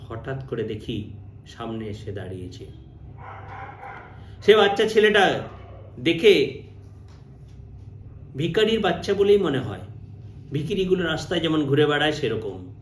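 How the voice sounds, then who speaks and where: A man's voice: medium pitch, animated, measured pace, long pauses, slight background noise. A man telling a story in the first person, dramatically and with animation, repeating phrases for emphasis. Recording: roomy, echoing sound.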